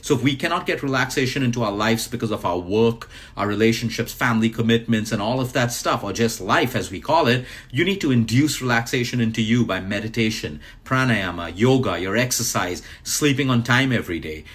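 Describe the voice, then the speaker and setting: A man's voice: mid-range voice, a little fast, hardly any pauses, fairly bright tone. Man giving emphatic wellness advice. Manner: emphatic, urging, repeats key points. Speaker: wellness speaker. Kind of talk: advice talk to listeners.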